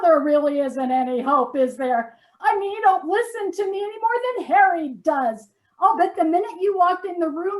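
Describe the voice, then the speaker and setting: A woman's voice: high-pitched, poor audio. A woman playing a nagging, self-righteous wife in a comedy sketch, dramatic and exasperated, often repeating herself. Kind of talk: readers theater comedy sketch.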